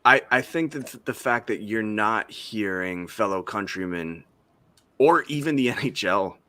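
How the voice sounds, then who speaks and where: A man with a fairly low but bright, forceful voice, co-hosting a sports podcast. He picks his words with care and hedges his opinions, recorded dry and close. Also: lively intonation, dry recording. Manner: measured, hedging, careful to qualify. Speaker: podcast co-host. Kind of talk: casual sports chat.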